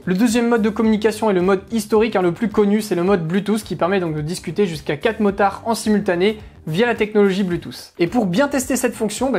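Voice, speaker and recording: A woman explains a product test in an informal, chatty style. She talks at a brisk, rapid pace, with music playing softly behind her. Her delivery is that of a casual reviewer addressing viewers directly. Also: mid-range voice. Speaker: motorcycle gear reviewer.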